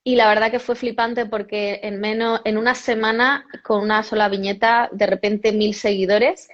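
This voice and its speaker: A woman's voice: mid-range voice, fairly even intonation, moderate pace. A woman, a yoga cartoonist, chatting casually as an interview guest, correcting herself often and laughing now and then. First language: Spanish. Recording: dull lo-fi audio.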